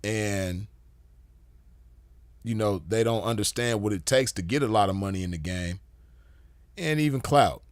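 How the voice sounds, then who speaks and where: A man's voice: on the low side, a little slow, pauses often, no echo. A man giving a casual commentary monologue as host, laid-back and full of "you know" fillers. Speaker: podcast host.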